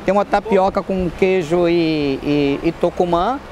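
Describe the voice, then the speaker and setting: A man's voice: sits high, unhurried, noisy background. A middle-aged man chef talking chattily about his restaurant in an outdoor interview. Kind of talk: on-camera interview. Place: outdoor square by a market.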